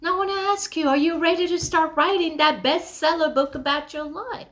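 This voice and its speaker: A woman's voice: very high voice, fairly quick, fairly bright tone. A woman speaks as a motivational coach. She addresses the listener directly and conversationally. She leans on key words and poses rhetorical questions to drive her message. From Kenya.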